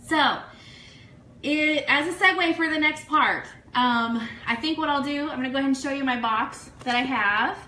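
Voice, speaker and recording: A woman with a bright, fairly high voice hosts a casual livestream show. She chats in an effusive, excitable way, gushing through shout-outs to sponsors and listeners. The sound has the echo of a live room. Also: normal speed. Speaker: online radio show host. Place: room, live social media stream. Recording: echoey room, faint noise.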